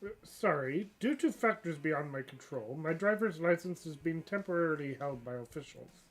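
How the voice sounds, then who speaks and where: A stuffed-up channel host reading the part of an evasive, dryly self-assured young female lawyer in a game story, giving cool, deadpan excuses. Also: medium pitch, moderate pace, close to an everyday voice. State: stuffed-up nose.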